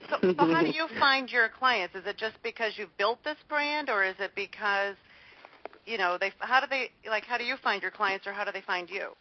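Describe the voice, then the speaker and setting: A woman's voice: medium pitch, normal speed, a little hiss or hum. Woman host, casual chat, rambling, lots of ums, dull lo-fi sound. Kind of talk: casual conversation. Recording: dull lo-fi audio.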